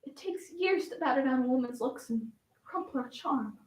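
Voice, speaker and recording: A flamboyant Southern belle flapper, acted by a woman in a high-pitched voice, holding forth in a dramatic stage monologue. Her Southern carries a wistful, coquettish theatricality. The sound is distant from the microphone, with plenty of room echo. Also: lively intonation, moderate pace, a little mellow. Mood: bitter nostalgia. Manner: dramatic, swinging from coy reminiscence to defiant outbursts.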